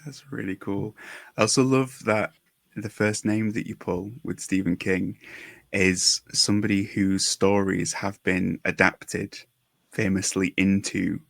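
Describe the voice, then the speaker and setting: A man's voice: low voice, fairly even intonation, unhurried, vocal fry, dry recording. A man hosting a casual podcast interview, speaking effusively and full of compliments. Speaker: podcast host.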